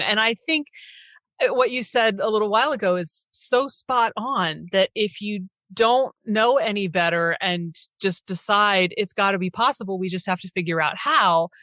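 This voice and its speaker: A woman's voice: medium pitch, a bit bright, no room sound. A woman hosting a podcast, reflecting aloud in an earnest, informal conversational way. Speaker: podcast host, interviewer.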